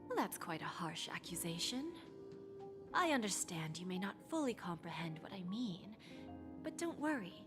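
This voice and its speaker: Game cast: a sly pawn shop owner, lilting whispery delivery, music underneath. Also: female voice, fairly low pitch, sing-song, moderate pace. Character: smooth-talking woman pawn shop owner. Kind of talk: video game voice acting.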